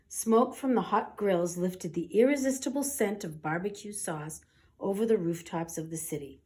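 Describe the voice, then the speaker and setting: A woman narrating a children's story aloud in an expressive, dramatic and deliberate way. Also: lowish voice. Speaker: storybook reader.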